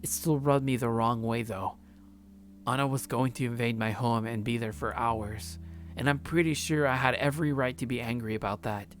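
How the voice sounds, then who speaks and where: Man streaming a visual novel over soft music. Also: medium pitch, fairly even intonation, normal speed. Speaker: let's play streamer. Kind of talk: reading a visual novel aloud, with casual reactions.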